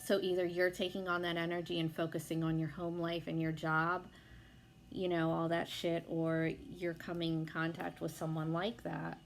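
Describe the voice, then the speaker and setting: A young woman with a hoarse voice from being sick, chatting casually as she gives a tarot reading, with lots of fillers and some swearing. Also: fairly low pitch, level tone, normal speed, soft background music. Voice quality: hoarse, gravelly. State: sick, losing her voice. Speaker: tarot reader.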